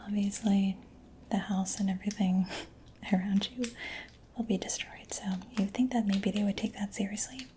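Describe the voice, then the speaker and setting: Soft whispering woman, very even pitch. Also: moderate pace. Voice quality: soft, breathy, mostly whispered. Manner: gentle, personal-attention murmuring. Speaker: ASMR performer. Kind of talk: soft-spoken ASMR mechanic roleplay. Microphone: close-up ASMR microphone. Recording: close-miked with clear background noise.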